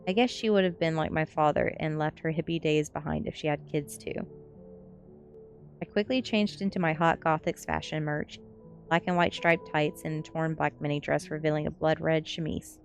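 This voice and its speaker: A woman's voice: low voice, steady tone, moderate pace, creaky. A woman streamer reading a novel aloud in a cozy ASMR storytelling style, with casual welcoming asides and the odd stumble over words. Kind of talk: ASMR storybook narration with casual chat to listeners. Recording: music behind the voice. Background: music.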